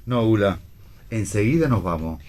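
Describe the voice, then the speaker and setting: An Argentine man playing a gruff, needling father in a radio play reading, with a husky voice. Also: on the low side, animated, normal speed, a little mellow, natural voice. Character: gruff, needling father and husband, forgetful with names. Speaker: actor in a read-theatre radio play.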